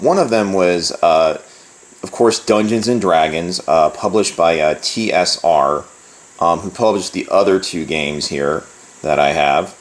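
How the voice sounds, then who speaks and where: A middle-aged man talking casually on his own as a host, in a halting, digressive way, often correcting himself. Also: sits low. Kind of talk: casual solo talk, rambling reminiscence. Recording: roomy echo, distant microphone.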